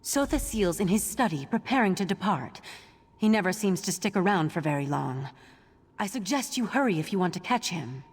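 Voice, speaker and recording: A woman playing a brisk, dutiful, dry-witted elven organiser in a fantasy game, with a raspy voice and businesslike manner. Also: on the low side, lively intonation. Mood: wry, brisk. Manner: wry, businesslike. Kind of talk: scripted fantasy game dialogue. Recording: long hall-like reverb.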